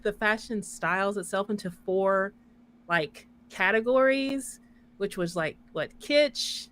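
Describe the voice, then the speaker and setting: A woman with a soft voice co-hosts a casual podcast. She talks in a chatty, qualifying way, sprinkling in "like" and "you know" as she goes. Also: a little high, smooth. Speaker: podcast co-host. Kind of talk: casual chat.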